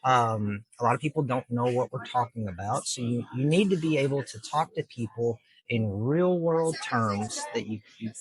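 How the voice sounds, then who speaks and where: A middle-aged man with a husky voice at an ordinary pitch. He speaks earnestly and plainly, explaining patiently with plenty of fillers as an interview guest. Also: normal speed, few pauses, rough recording. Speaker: district judge, guest. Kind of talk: casual interview answers.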